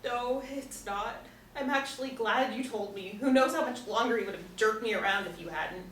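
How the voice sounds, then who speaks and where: A woman's voice: on the high side, moderate pace, fairly bright tone, close to an everyday voice. A woman, apparently in her thirties, playing a blunt, sarcastic, hurt woman at a script reading. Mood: bitter. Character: sharp-tongued, sceptical, hurt woman. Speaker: actress at a screenplay table reading. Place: indoor room, seated. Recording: echoey room, distant mic, faint noise.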